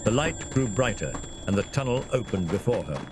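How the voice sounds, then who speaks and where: Animated male fantasy storyteller, noisy ambience. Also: on the low side, brisk. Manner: theatrical, suspenseful delivery. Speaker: storyteller, narrator. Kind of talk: dramatic scripted fantasy narration.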